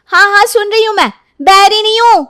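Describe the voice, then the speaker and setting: A woman's voice: high-pitched, level tone, fast, no echo, studio quality, pitched above the performer's own voice, close to an everyday voice. A woman voicing an irritable, careless young daughter in a story, with snappy, whiny back-talk. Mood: irritated, defiant.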